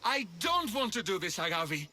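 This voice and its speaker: A man's voice: sits high, big pitch swings, brisk, sharp tone, slight background noise, full character voice. An actor playing an earnest, troubled male healer in a video game, speaking with grave intensity. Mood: troubled, urgent. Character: earnest, troubled young healer seeking justice. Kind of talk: dramatic video game cutscene acting. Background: music.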